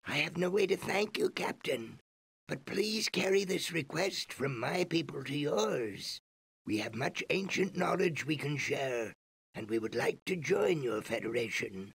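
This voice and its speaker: A man's voice: a little high, animated, creaky, fairly bright tone, husky, no room sound, full character voice. A man playing a solemn, courteous ancient alien in an old computer game, speaking formally and theatrically. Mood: grateful, reverent. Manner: formal, theatrical, slow and deliberate.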